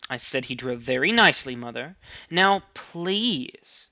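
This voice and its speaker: A man's voice: mid-range voice, lively intonation, a little slow, pushed and bright, no echo, lo-fi sound, heavily acted. A man voicing a cool young married daughter in an audiobook story, languid and clipped, patient but irritated. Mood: exasperated. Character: cool, exasperated young married daughter, placating her mother.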